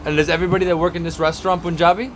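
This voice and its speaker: A man from Miami, host of a travel vlog, quickly interviewing people on city streets. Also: high-pitched, little pitch movement, far from the mic. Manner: quick, chatty questioning. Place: busy city streets, outdoors. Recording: street noise behind.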